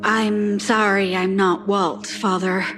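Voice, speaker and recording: A woman's voice: mid-range voice, little pitch movement, slow pace, a bit bright, faint music behind. A woman playing a dutiful, wounded daughter seeking her father's approval in an audio drama.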